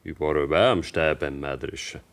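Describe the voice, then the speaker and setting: A young bavarian farmer's son in a radio play, deep voice, terse and guarded, gives nothing away. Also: male voice, a little fast. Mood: guarded, stolid. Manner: terse, evasive, flat answers. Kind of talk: German radio drama acting.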